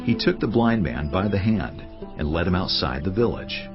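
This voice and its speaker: An actor playing a grave Bible narrator, telling scripture in a measured, solemn dramatic style. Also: male voice, deep voice, expressive. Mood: solemn storytelling. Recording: dull sound under prominent music. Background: music.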